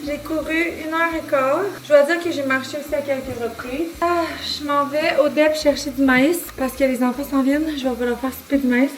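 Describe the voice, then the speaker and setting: Female vlogger, Quebec French accent, higher-pitched voice, informal rambling chat full of slang and English borrowings. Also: fairly even intonation, moderate pace, few pauses, background noise. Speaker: vlogger and entrepreneur. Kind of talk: casual vlog chat.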